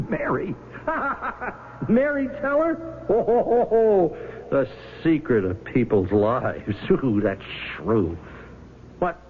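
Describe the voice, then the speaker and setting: Casting: bitter, cornered schemer, excitable and very animated pitch, tinny vintage radio drama recording. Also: male voice, extremely slow, long pauses. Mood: bitterly mocking. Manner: excitable, stammering under pressure. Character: cornered, bitter male schemer, once a hopeful husband. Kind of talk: 1970s radio drama acting. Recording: tinny old radio broadcast, faint noise.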